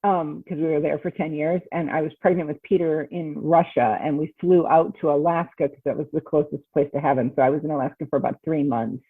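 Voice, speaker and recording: A chatty, emphatic middle-aged American woman talks casually as an interview guest. Her voice is slightly husky and fairly low, and it comes through thin and phone-like, with very little room sound. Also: steady tone, brisk, hardly any pauses, no echo. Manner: chatty, emphatic, peppers talk with "right?" and "you know". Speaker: guest novelist. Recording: thin, narrow phone-like call audio.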